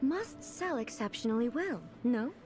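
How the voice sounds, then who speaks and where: A regal, composed female ruler from a video game. Her voice is high and melodic, with formal, measured phrasing and a curious temper. Faint music runs behind her. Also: expressive. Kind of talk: scripted video game voice acting.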